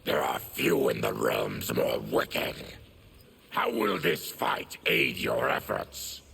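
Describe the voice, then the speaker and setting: An actor playing a proud, bitter, monstrous warrior in a video game, speaking sternly and formally in a menacing growl. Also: male voice, huge pitch swings, slow, sharp tone, a little hiss or hum, full character voice. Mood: grim. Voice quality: deep gravelly growl. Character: afflicted monstrous warrior, proud, bitter former army tribune. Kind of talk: video game fight-intro dialogue.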